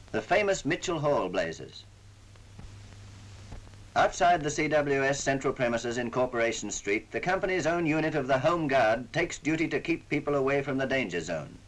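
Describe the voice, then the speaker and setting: A man's voice: mid-range voice, fairly bright tone, low-quality recording. A man narrating a wartime propaganda film in a solemn, formal, oratorical way, on a vintage 1940s soundtrack. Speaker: newsreel narrator.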